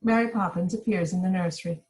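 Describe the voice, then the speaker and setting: A woman's voice: lowish voice, fast talker, a little mellow. Woman narrating stage directions. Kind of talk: scripted narration in amateur read-through.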